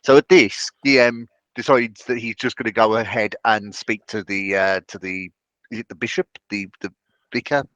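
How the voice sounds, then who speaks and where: A man playing a tabletop game, haltingly describing what his character does as he searches for words. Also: lowish voice, steady tone, a bit bright, dry recording, dull audio.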